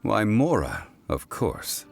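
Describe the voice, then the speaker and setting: An actor playing a composed, scholarly gentleman in an anime-style video game, speaking formally with measured courtesy. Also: lowish voice, wildly swooping pitch, creaky, over loud music, heavily acted. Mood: thoughtful, knowing.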